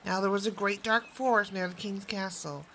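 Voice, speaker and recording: A woman storyteller giving an expressive, scripted narration of a fairy tale. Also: medium pitch, normal speed, slight background noise. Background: music.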